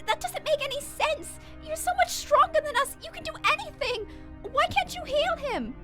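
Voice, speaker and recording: A woman's voice: very high-pitched, big pitch swings, normal speed, a bit bright, exaggerated voice. A woman playing an innocent, earnest little skeleton boy in a comic dub, childlike, eager and emotional. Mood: shocked, pleading. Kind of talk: dramatic comic dub acting. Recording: prominent music over the voice.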